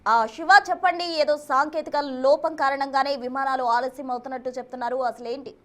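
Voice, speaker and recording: High-voiced woman news anchor. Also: steady tone, fairly quick. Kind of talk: breaking news presenting. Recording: music bed under the voice. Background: news music.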